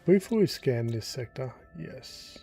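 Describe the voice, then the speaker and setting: A man's voice: mid-range voice, unhurried, gravelly, soft background music. Laid-back man thinking aloud. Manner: relaxed, thinking aloud while playing. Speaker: gaming YouTuber. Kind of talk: casual gameplay commentary.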